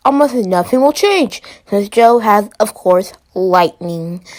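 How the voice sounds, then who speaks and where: A woman's voice: fairly high pitch, darkish tone, rough recording. A woman giving informal, opinionated ranking commentary in a playfully dramatic way. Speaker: video host.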